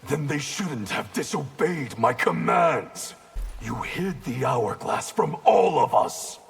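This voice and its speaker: An actor playing a proud, bitter rogue ninja clan leader in a video game, gravelly and defiant, with cold deliberation. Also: male voice, a little high, animated, slow, full character voice. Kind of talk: video game dramatic dialogue.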